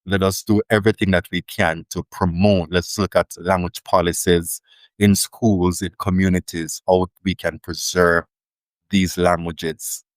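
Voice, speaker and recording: A man's voice: deep voice, little pitch movement, measured pace, dry recording. A Jamaican man, a linguist guest, telling stories in a thoughtful, emphatic way in a casual interview. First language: Jamaican Creole.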